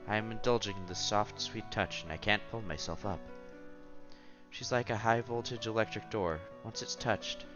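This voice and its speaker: A man's voice: lowish voice, lo-fi sound. A man reading visual novel narration aloud as a streamer, expressively, with casual asides and exclamations.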